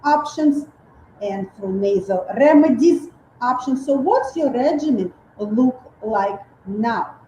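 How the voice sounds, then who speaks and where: Woman host, soft mellow voice, kinda muffled echoey sound. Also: a little high, expressive, takes it slowly. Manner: encouraging, affirming. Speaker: host, dental clinician. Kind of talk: interview host. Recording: roomy, dull, faint noise.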